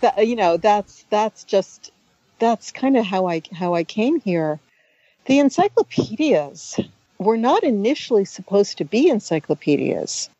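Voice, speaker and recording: A middle-aged woman, a native Hungarian speaker raised in the United States, talking about her writing in a relaxed, chatty interview with many restarts and asides. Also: medium pitch, measured pace, no echo, lo-fi sound. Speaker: author and guest.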